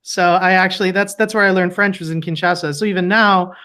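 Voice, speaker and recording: A woman's voice: lowish voice, fairly even intonation, fairly quick, pushed and bright. A woman chatting casually on a live audio chat, rambling with restarts and giggling.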